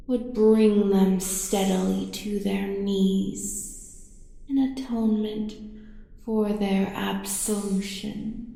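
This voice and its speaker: Woman doing an ancient cruel godlike monster, slow creaky menace, drowned in huge echo. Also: medium pitch, warm tone. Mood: cold, stern. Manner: slow, deliberate, ominous pronouncements. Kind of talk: dramatic audio roleplay. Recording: very echoey, long cavernous reverb.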